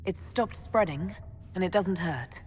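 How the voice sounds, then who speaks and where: A woman's voice: fairly low pitch, unhurried, a little hiss or hum, lightly acted. A woman playing a reserved, questioning young female mage hero in a fantasy game. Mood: guarded, questioning. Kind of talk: fantasy game cutscene acting. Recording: long hall reverb, music behind.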